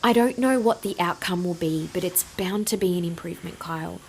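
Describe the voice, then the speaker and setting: A woman's voice: on the low side, a little fast, creaky, darkish tone, a little hiss or hum. An actress playing an earnest, reassuring and resolute woman scientist in an audio drama.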